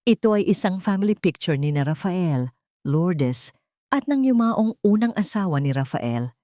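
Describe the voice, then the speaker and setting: A woman reading a melodramatic audiobook story in a measured, dramatic storytelling manner. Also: lowish voice, expressive, warm tone, dry recording, studio quality. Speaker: narrator. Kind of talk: scripted audiobook narration.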